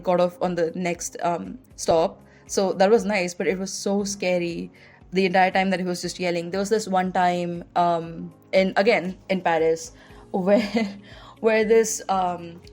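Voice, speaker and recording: A young woman speaking with an Indian English accent tells stories in a loose, chatty way, with "like" scattered through her sentences. She talks as a podcast host, with soft music faintly underneath. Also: fairly low pitch. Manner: chatty, heavy use of "like" fillers. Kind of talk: casual personal storytelling.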